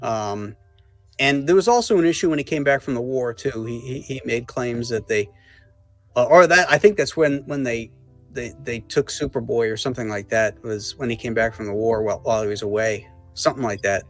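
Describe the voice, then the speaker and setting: A man in a casual panel chat, explaining history in a halting way. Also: mid-range voice, soft background music.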